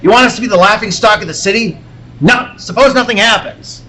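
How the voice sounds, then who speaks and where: A man playing a gruff, blustering newspaper boss who barks orders and stammers when rattled, in an old-time radio serial style. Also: sits high, fairly quick, sharp tone, low-quality recording. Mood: rattled, anxious. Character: gruff, excitable newspaper editor, an agitated boss.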